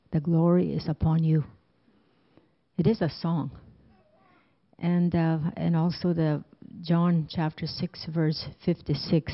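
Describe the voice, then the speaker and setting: Low, even-voiced woman, very slow and deliberate; dry, distant, lo-fi. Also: no echo. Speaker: church member sharing. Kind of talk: quoting scripture in sharing.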